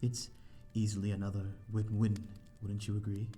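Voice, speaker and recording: Man playing a deep, mellow, courteous vampire. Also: monotone, moderate pace, faint music behind, natural voice. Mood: gracious, self-deprecating. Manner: hesitant, polite, formal old-fashioned phrasing. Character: courteous, lonely old-fashioned male vampire living in a manor. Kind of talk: slow ASMR audio roleplay, addressing the listener.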